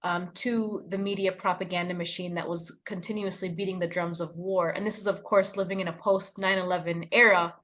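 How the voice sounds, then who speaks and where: A woman's voice: fairly low pitch, steady tone, a little fast, few pauses, a bit bright. A woman in her thirties from the United States, giving long earnest interview answers with some fillers. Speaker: guest, news outlet founder.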